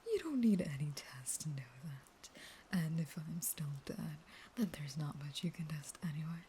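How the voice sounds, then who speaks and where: A woman playing a manipulative, unstable vampire wife in an intimate audio roleplay, speaking in halting, whispered pleas. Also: low-pitched, lively intonation, warm tone, slight background noise. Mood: coaxing, pleading.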